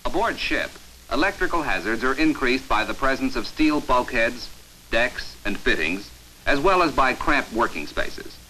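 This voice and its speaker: American guy narrating an old 50s training film, crisp announcer style, authoritative and measured, bright voice, hissy film audio. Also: medium pitch, big pitch swings, rough recording. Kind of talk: scripted instructional narration, 1950s training film. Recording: hissy 1950s film soundtrack.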